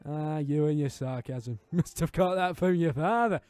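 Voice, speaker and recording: Guy doing a fussy loving scottish mum from a visual novel, voice pitched up, dry sound. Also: moderate pace, mellow, smooth, no echo, soft background music, lightly acted. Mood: fond fussing. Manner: chiding, doting. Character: fussing, affectionate mother on the phone, middle-aged.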